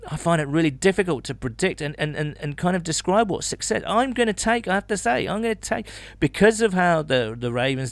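A man's voice: fairly high pitch, dry recording. A man co-hosting a sports podcast, chatting casually with colourful, colloquial turns of phrase. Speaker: podcast co-host.

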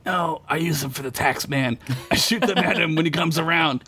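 A man's voice: on the high side, normal speed, sharp tone, husky, pitched above the performer's own voice. A man voicing a defiant, wisecracking, badly wounded gunslinger in a fantasy story. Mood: wry, pained.

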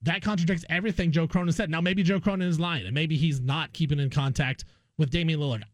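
A man's voice: on the high side, no echo. A man hosting a sports talk show, giving opinionated, emphatic commentary and often posing rhetorical questions.

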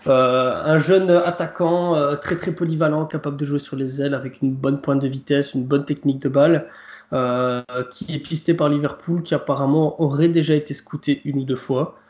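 A man's voice: a little high, fairly even intonation, moderate pace, darkish tone. A man on a football podcast panel, talking with many hesitations. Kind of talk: podcast discussion. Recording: echoey room, dull audio.

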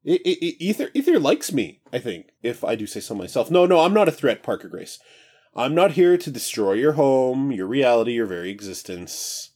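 A man voicing a chatty ancient male god-king who stammers and rambles with breezy excitement. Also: mid-range voice, moderate pace, husky, pitched above the performer's own voice. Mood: rambling, glib.

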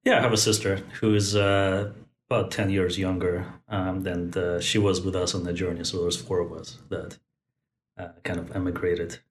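A man in his forties, born in Moscow and raised in Central Asia, speaks thoughtfully as a podcast guest, pausing often to find his words. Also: low-pitched, level tone. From born in Moscow, raised in Tajikistan. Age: 40s. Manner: thoughtful, many fillers and restarts. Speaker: guest, company founder. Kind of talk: podcast interview. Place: Bay Area.

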